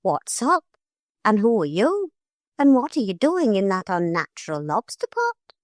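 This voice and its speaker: A woman's voice: medium pitch, huge pitch swings, measured pace, no echo, studio quality, pitched above the performer's own voice. A woman doing a breezy old male sea gull.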